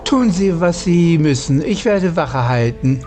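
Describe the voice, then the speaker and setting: A woman's voice: on the low side, expressive, measured pace, dark tone, slight background noise. A woman role-playing dialogue over a video game in a terse, formal manner.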